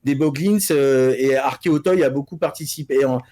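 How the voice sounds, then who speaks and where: A man chatting casually as a panellist on a pop-culture news show, halting and repeating words as he builds his sentences. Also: mid-range voice, mellow. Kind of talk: casual group chat.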